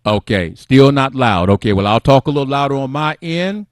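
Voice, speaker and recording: A middle-aged man hosting a casual talk show, soft-spoken and rambling, who addresses his viewers as family. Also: mid-range voice, lively intonation, normal speed, no echo, studio quality. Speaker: host.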